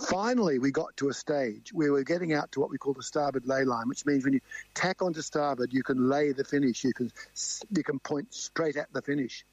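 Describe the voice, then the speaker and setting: A loud-voiced man recounts a tense race with lively energy, quoting his crewmates as he goes. The sound is dry and slightly dull, with no room around it. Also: mid-range voice, fairly quick, husky, no room sound. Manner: animated, vivid storytelling with quoted crew talk. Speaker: sailing crew member guest. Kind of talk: storytelling interview.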